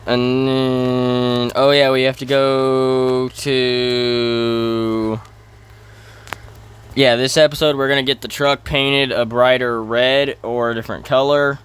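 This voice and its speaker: Slow-talking man with a clear, smooth voice. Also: mid-range voice, little pitch movement, a bit bright. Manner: halting, thinking aloud. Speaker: gaming video host. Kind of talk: casual role-play gameplay commentary.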